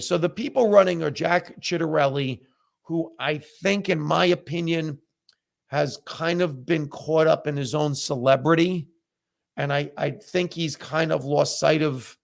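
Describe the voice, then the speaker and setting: A man's voice: fairly high pitch, creaky. A middle-aged man whose first language is Italian, hosting a live podcast and giving candid opinions in a casual, conversational way with plenty of fillers. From United States. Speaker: comedian and podcast host. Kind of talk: casual live-stream chat.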